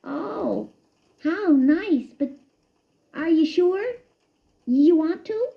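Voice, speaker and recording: A woman voicing a young boy mouse who is greedy and later guilty, with a high squeaky voice and a stammer. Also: huge pitch swings, unhurried, roomy sound, exaggerated voice. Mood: flustered. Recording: dull lo-fi recording.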